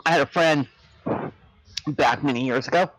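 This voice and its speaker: A woman's voice: low voice, normal speed, no room sound, rough recording. A woman in her fifties chatting casually on voice chat, teasing and laughing often. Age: 50s. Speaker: streamer and raid player.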